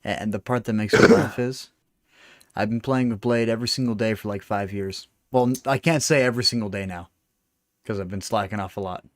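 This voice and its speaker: A man's voice: lowish voice, brisk, dry recording, studio quality. A man in his early twenties hosting a casual gaming livestream, chatty, laughing often and full of fillers. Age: early 20s. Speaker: game streamer host.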